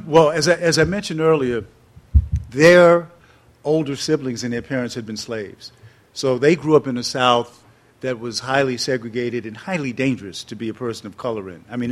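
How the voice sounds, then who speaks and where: Animated storytelling man in his sixties. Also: medium pitch. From New York City. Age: 60s. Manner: animated storyteller, laughs often, drops into slower reflective passages. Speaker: interview guest and author. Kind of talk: storytelling before an audience.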